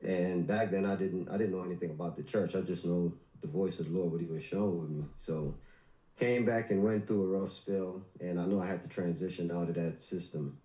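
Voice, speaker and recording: A man's voice: low-pitched, steady tone, brisk, dark tone, reverberant, poor audio. A man in his forties from Guyana, calling in to a church livestream and telling his story with deference. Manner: deferential, says sir often. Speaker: caller, church member. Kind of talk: casual call-in testimony.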